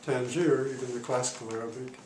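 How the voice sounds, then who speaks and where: An elderly man in his eighties talks about his past as a diplomat in a calm, halting way, stopping often to search for words. His voice sits at an ordinary pitch for a man. He is recorded from some way off in a reverberant room with a faint hiss behind him. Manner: halting, many pauses and fillers. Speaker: retired diplomat interviewee. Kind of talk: oral history interview. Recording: roomy, distant mic, faint noise.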